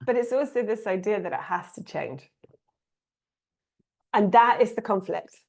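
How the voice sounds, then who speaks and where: A woman with an English accent, giving a reflective spiritual talk in a calm, musing way, pausing often. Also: medium pitch, gravelly. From England. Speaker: spiritual teacher.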